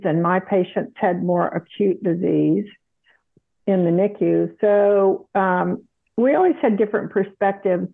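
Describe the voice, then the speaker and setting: Slow, gravelly woman on a tinny line. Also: on the low side. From South Carolina, USA. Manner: measured storytelling with light laughs. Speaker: guest, retired doctor. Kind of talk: casual interview chat.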